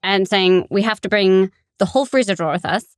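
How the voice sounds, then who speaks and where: A woman appearing as a podcast guest, talking casually and excitedly, laughing often. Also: medium pitch, dry recording, studio quality. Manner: bubbly, quick to laugh. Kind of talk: casual conversation.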